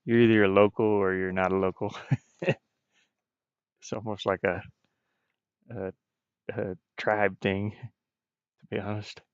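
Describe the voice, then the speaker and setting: Low, gravelly man chatting on a stream. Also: little pitch movement, no room sound. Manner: hesitant, halting, frequently trailing off. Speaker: game developer livestreaming. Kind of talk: casual stream chat. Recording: muffled, dull low-fidelity stream audio.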